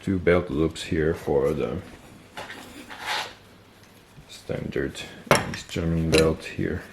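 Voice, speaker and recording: A man with a stuffy nose, casually explaining military gear on camera, relaxed and thinking aloud with fillers and self-corrections. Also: rumbling low, takes it slowly, very noisy. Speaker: military gear collector and video host. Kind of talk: casual show-and-tell explanation.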